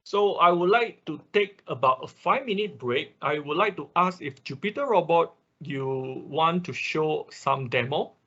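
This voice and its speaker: A man with a husky voice teaching a live online programming class informally, often repeating words and checking in as he explains. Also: fairly high pitch, expressive, measured pace, hardly any pauses, bright, forceful tone, muffled recording. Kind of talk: informal live technical tutorial, explaining code.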